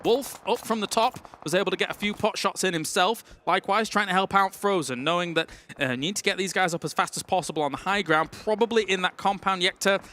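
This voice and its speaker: A man casting a live esports match with excitable, playful play-by-play. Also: high voice. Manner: excitable, quick reactions, playful banter. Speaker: esports caster.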